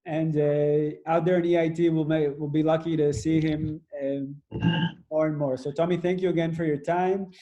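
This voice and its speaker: A man's voice: fairly high pitch, little pitch movement, moderate pace, dark tone, rough recording. A man moderating an online webinar over a video call, introducing the next speaker with many fillers.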